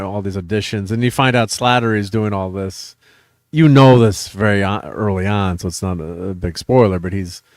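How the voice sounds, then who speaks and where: Low-voiced rambling man, dry close sound. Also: no room sound. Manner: rambling, many fillers and restarts. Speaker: host. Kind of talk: casual chat.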